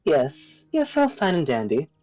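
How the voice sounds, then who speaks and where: Woman acting as elf, female, cheerful, self-mocking, theatrical, lively swooping intonation, faint music behind. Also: deep voice, unhurried, dry recording, heavily acted. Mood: chirpy, eager. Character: cheerful, bored elf woman living a quiet grove life.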